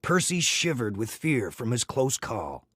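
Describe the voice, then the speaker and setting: A man reading a children's story aloud in an expressive, dramatic storytelling manner. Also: medium pitch, unhurried, husky, dry recording, clean studio sound. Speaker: storybook reader.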